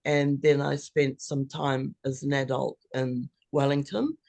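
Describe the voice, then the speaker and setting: An older woman, around her seventies, with a New Zealand accent, gives a measured, reflective personal presentation over a video call. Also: extremely low pitch, level tone, vocal fry, darkish tone, no echo. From New Zealand, born in England. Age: older, about 70s. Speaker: co-housing founder presenting.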